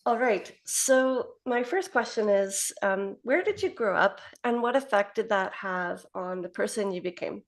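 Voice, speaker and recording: A woman in her forties interviewing a guest over a video call, friendly and laughing often as she asks rapid-fire questions. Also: mid-range voice, lively intonation. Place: home office with piano. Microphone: laptop webcam on video call.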